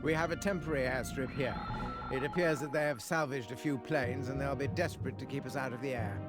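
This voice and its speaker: A man's voice: mid-range voice, a little fast, close to an everyday voice. A man playing a wary, dutiful intelligence officer in a video game, formal and earnest in clipped military phrasing. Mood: measured, defensive. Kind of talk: video game briefing dialogue. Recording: loud orchestral music behind. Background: loud game music.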